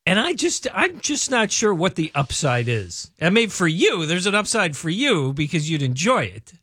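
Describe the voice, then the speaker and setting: A man's voice: sits high, sing-song, moderate pace, a bit bright, husky, no echo. A man co-hosting a sports radio show, telling anecdotes and reining in his partner with dry humor. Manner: dry, reins in his co-host, tells anecdotes. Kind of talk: casual radio banter. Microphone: studio microphone.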